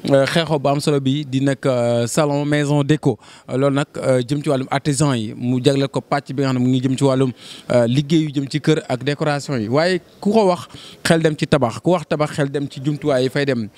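A man's voice: fairly high pitch, darkish tone. A young man with a Senegalese accent, hosting a trade fair interview with a handheld mic, promotional and full of hesitant fillers. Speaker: reporter and host. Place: exhibition stand indoors.

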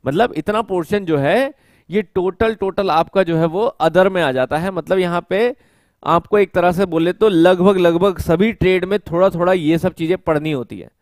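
Guy teaching on a whiteboard, headset mic, slightly high voice, dry close sound, repeats terms slow while writing. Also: dry recording. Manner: explains step by step, repeats key terms slowly while writing; mixes English technical terms into Hindi speech. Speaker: exam coaching teacher. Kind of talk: whiteboard lecture. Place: studio with whiteboard, indoors.

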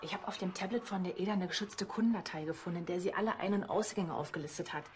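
A woman's voice: lowish voice, fast talker, natural voice. An actress playing an earnest, concerned young policewoman in a TV crime drama. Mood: businesslike.

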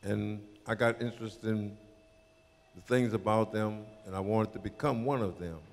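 A man in his eighties with a low voice tells his story plainly and steadily. He speaks into a handheld mic in a community hall, and a long echo hangs after his words. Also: steady tone, moderate pace. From New Orleans, Louisiana. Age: 80s. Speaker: panel guest, Mardi Gras Indian chief. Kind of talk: telling a personal story to an audience.

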